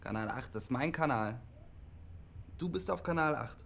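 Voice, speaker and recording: An actor playing a lonely, guarded young CB radio operator, speaking haltingly and repeating himself in a naturalistic radio drama. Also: male voice, medium pitch, animated, creaky, fairly bright tone, a little hiss or hum. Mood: insistent, nervous. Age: young adult.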